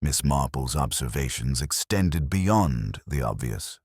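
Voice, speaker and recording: A man narrating a scripted mystery story in a measured, formal storytelling manner. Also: extremely low pitch, expressive, a little mellow, husky, no echo, studio quality. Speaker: audiobook narrator.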